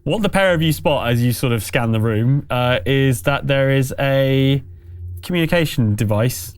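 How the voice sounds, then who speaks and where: A man's voice: mid-range voice, lively intonation, normal speed, clear, resonant tone, dry recording. A man acting as game master, narrating a tabletop role-playing game in a casual, conversational way.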